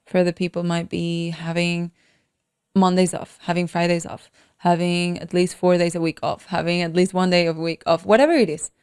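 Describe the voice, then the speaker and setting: A woman from the Dominican Republic giving a motivational solo podcast talk as a coach, speaking emphatically and deliberately, repeating phrases for emphasis. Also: fairly low pitch, flat delivery, a little mellow, clear, resonant tone.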